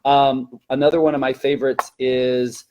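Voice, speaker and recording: A man's voice: medium pitch, level tone, moderate pace, a little mellow, a little hiss or hum, rough recording. A man who teaches elementary Spanish, giving an explanatory teacher-training talk in a hesitant, self-correcting way.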